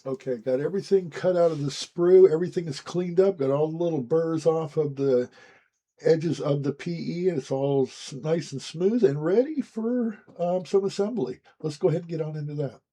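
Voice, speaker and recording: A man with a hoarse, raspy voice as he gets his voice back, hosting a casual, chatty walkthrough of building a scale model. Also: on the high side, normal speed. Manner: relaxed, chatty, frequent "guys" and "um". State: hoarse, recovering from lost voice.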